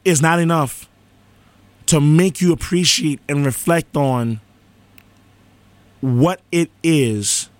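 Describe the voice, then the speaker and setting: A male radio host speaking in a slightly high voice with a sore, cracking rasp. He delivers earnest thank-yous and station promos. The studio sound is close and dry. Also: slow pace, long pauses, dry recording. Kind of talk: live college radio show hosting. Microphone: studio microphone.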